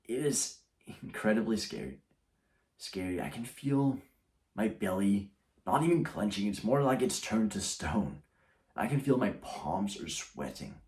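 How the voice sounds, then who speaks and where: A man's voice: fairly low pitch, expressive, a little slow, darkish tone. A young man talking casually to camera as a YouTuber, rambling and often restarting his sentences.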